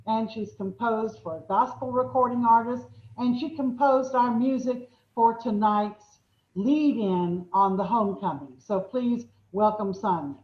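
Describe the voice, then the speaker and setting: A woman hosting an online program, introducing a presenter in a brisk, self-deprecating way. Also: fairly high pitch. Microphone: video call. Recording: dull, echoey video call audio.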